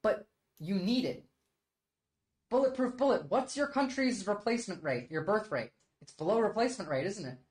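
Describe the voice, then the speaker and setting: A woman's voice: medium pitch, lively intonation, moderate pace, darkish tone. A Turkish-born woman, debating her chat on a casual livestream. She argues bluntly and emphatically, peppering her points with "like". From Turkey. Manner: blunt, argumentative and emphatic, with frequent "like" fillers.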